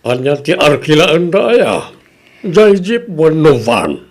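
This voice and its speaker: A man's voice: on the high side, big pitch swings, slow, full character voice. A man playing a suspicious, grumbling older village husband with an Ilocano accent in a Filipino radio comedy drama. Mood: questioning.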